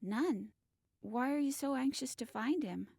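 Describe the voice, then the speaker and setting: A woman's voice: sits high, measured pace, gravelly, a little mellow, husky, no room sound, studio quality, full character voice. An actress playing a guarded, defiant teenage girl in an audio drama, with a terse, defensive manner.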